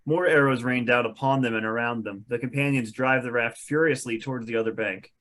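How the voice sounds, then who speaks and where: A man's voice: medium pitch, steady tone, fairly quick, fairly bright tone. A man narrating stage directions from a fantasy script at a table read.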